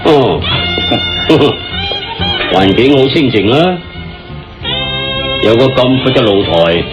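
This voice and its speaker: A man with a Hong Kong Cantonese accent playing a genial, commanding police chief in a radio drama, speaking in a hearty, deliberate, theatrical way. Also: mid-range voice, huge pitch swings, full character voice. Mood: jovial, hearty. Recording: muffled lo-fi radio drama with music.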